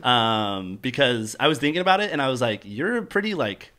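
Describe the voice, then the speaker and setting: A man's voice: mid-range voice, expressive, a little fast, sharp tone. A young man hosting a podcast, talking casually and enthusiastically with lots of fillers. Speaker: podcast host. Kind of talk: casual chat. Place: podcast studio.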